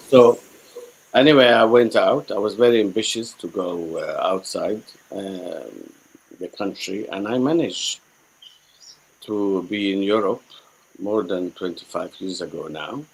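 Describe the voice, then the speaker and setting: A middle-aged man from Syria with a Syrian accent, a native Arabic speaker, telling his own story reflectively as a guest, halting and repeating himself as he searches for words. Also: deep voice, slight background noise, low-quality recording. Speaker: guest, spiritual healer.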